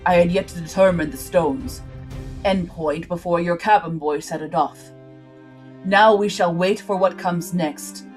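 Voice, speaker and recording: An imperious seafaring man of a pirate adventure story , acted out by a woman, pitched well below the narrator. He speaks formally and commands with an ominous weight, over a music bed. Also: a little slow, darkish tone, lightly acted. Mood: cool, measured.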